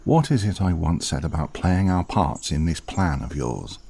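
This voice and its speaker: A man plays a dutiful older manservant with a low, soft-edged voice. He speaks formally and with dry, fatherly reproach, his pitch rising and falling expressively. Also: animated, husky, full character voice. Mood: gently insistent. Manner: formal, measured, politely dry. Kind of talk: audio drama acting.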